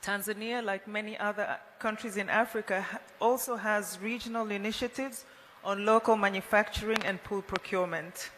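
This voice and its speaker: A woman chairs a formal roundtable at a medium pitch. Her voice rings through a big hall, with some room noise around it. She speaks as a moderator addressing delegates. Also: steady tone, normal speed, a bit bright. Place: large indoor conference hall. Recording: echoey hall, noisy room ambience.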